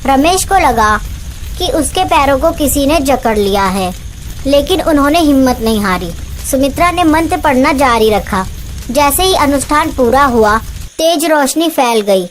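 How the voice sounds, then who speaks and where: A woman's voice: high-pitched, expressive, unhurried, slight background noise. A woman reading scripted narration for a horror story, as the narrator.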